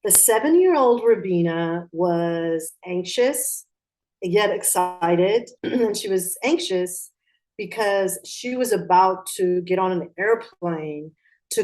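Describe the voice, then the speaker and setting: A woman interview guest who was born in Pakistan and raised in Atlanta. She talks in a reflective way, circling back and restarting as she thinks, in a fairly low voice. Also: darkish tone, poor audio. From Pakistan, raised in Atlanta, Georgia. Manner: reflective, with frequent restarts and fillers. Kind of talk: conversational interview.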